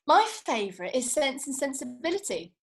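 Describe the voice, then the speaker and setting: A woman's voice: sits high, big pitch swings, normal speed. A woman acting a feisty, blunt young working-class woman in a comedy script read, colloquial and sweary. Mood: chatty.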